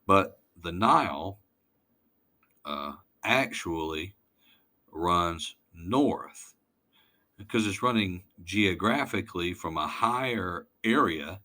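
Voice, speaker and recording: A man lecturing informally over slides, halting and deliberate, putting weight on key words. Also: sits low, lively intonation, sharp tone.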